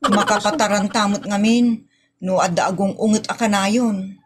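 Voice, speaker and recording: A woman's voice: medium pitch, steady tone, normal speed, breathy edge, natural voice. A woman with an Ilocano Filipino accent playing an earnest employee pleading with a strict boss in a comedy audio drama. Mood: pleading, complaining.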